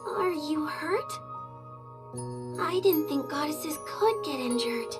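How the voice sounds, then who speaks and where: An actress voicing a small, curious, concerned child in an English anime game dub, innocent and inquisitive. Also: female voice, sits high, expressive, slow pace. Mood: curious, awed. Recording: music behind the voice.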